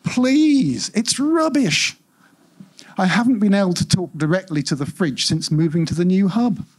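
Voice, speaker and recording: A man as a fretful household AI, exasperated, self-important, indignant, emphatic, husky, swooping pitch, live story reading. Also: animated, measured pace, a little mellow, heavily acted.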